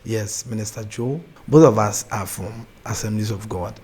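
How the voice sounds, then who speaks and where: A young Ghanaian man with a Ghanaian accent, a gospel artist chatting as an interview guest, soft-spoken, laughing easily and often restarting his sentences. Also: on the low side, normal speed, husky. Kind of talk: casual interview chat.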